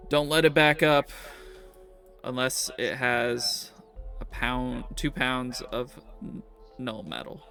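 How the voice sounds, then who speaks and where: A man acts out a gruff male prison warden in a tabletop fantasy game, with a creaky, medium-pitched voice. He is impatient and mocking, and faint music plays behind him. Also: steady tone, slow pace, pushed and bright, heavily acted.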